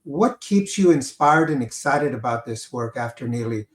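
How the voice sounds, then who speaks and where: A man hosting a live interview, talking haltingly with many fillers and a repeated "on there". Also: medium pitch, lively intonation, reverberant. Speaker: host, company director of school services.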